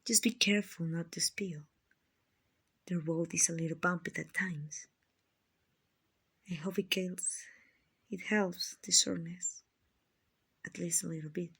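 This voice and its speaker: Woman voicing a slow, breathy, gentle vampire lady. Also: lowish voice, fairly even intonation, full character voice. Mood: gentle, hospitable. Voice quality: soft, breathy, husky. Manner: slow, soothing, genteel, endearing. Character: gentle, kindly female vampire, soothing rich slave-buyer. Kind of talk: ASMR roleplay, soft and half-whispered.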